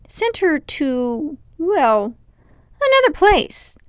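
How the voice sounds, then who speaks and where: A woman's voice: high voice, very animated, drawn-out words, no echo, clean studio sound, pitched above the performer's own voice, exaggerated voice. A woman voicing a pompous, condescending, scheming old man, a self-important magician uncle in a storybook. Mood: evasive, sly. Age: older. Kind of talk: storybook character voice.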